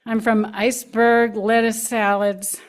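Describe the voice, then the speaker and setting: Woman, slow pace, calm even poem reading, church pulpit. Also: a little high. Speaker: congregant reading her poem. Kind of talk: reading a personal poem aloud. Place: church sanctuary.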